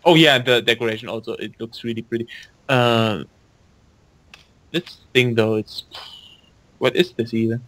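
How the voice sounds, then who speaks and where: A man's voice: medium pitch, steady tone, moderate pace, long pauses, a bit bright, no room sound. A young man chatting casually and wryly while playing an online game on a voice call. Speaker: gamer on a voice call. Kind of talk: casual chat while gaming.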